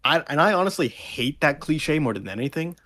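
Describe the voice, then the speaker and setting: A man's voice: on the high side, animated, a little fast, sharp tone. A man co-hosting a podcast in casual discussion, speaking informally with plenty of slang. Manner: informal, slangy, occasional swearing. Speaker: podcast co-host.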